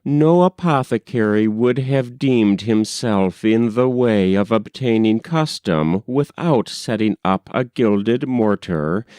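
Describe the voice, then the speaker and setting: Unhurried, low-pitched man reading a story. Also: smooth, no room sound, clean studio sound. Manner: measured, expressive reading. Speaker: audiobook reader. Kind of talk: literary story narration.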